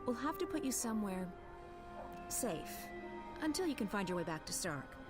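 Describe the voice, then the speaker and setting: A woman's voice: mid-range voice, big pitch swings, normal speed, natural voice. A woman playing a jaded young resistance leader in a video game, curt, guarded and commanding. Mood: dismissive. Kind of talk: video game cutscene acting. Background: background music.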